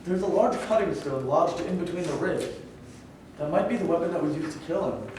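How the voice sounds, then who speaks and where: A man's voice: on the high side, expressive, a little fast, darkish tone, a little hiss or hum, lightly acted. A man in a staged reading playing a careful, analytical young archaeologist who leads a team. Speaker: actor. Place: echoey room at a staged reading. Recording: very echoey room, distant mic.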